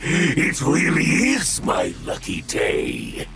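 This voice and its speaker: Game villain, menacing machine creature: very slow, gravelly, wildly swooping delivery, music underneath. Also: male voice, low-pitched, heavily acted. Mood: mocking surprise. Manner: slow, drawn-out, gloating, theatrical delivery. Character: sadistic male monster machine, menacing, theatrical, relishes pain. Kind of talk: dramatic video game voice acting.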